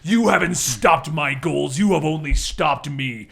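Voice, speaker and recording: A man's voice: fairly high pitch, unhurried, creaky, a bit bright, pitched below the performer's own voice. A man voicing a defeated, menacing multiversal villain in a tabletop game, making grandiose, ominous pronouncements. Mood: ominous threat.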